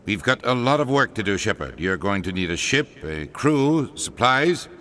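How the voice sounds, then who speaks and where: An actor playing a forceful, brusque human ambassador in a video game. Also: male voice, fairly low pitch, sing-song, moderate pace, slight background noise. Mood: brisk, businesslike. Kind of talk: dramatic video game acting. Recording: film music behind.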